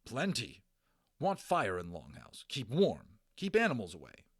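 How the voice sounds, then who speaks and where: A man's voice: medium pitch, huge pitch swings, a little slow, breathy edge, full character voice. A man voicing a proud, blunt tiny warrior who speaks in clipped, broken phrases. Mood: demanding.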